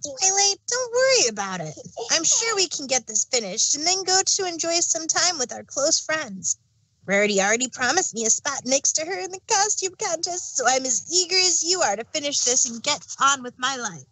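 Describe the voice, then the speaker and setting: A woman's voice: sits high, big pitch swings, normal speed, pushed and bright, no room sound, pitched above the performer's own voice, full character voice, rough recording. A woman voicing an eager, reassuring young male baby dragon in a fan fiction story reading.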